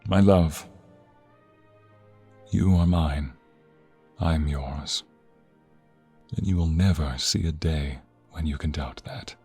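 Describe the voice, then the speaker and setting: A man's voice: extremely low pitch, slow, long pauses, mellow, husky, exaggerated voice. A man playing a solemn, devoted king in a romantic fantasy audio drama, speaking in stately, tender declamation. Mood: tender, intimate. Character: solemn, devoted, loving young king addressing court and bride. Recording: long hall reverb, music underneath.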